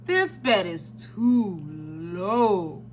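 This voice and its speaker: Lady putting on jolly old father christmas, bumbling, slow drawn-out words, faint hiss. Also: lowish voice, sing-song, pitched below the performer's own voice, exaggerated voice. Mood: grumbling.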